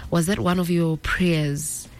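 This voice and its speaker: A woman's voice: lowish voice, fairly even intonation, takes it slowly, soft background music. A young woman, interviewing a guest casually and often murmuring agreement. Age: 20s.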